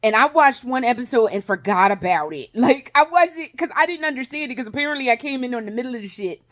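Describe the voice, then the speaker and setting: A woman's voice: a little high, lively intonation, quick pace, fairly bright tone. A woman vlogging in a casual, rambling way, swearing freely, laughing often and restarting her sentences. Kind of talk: casual rambling vlog chat.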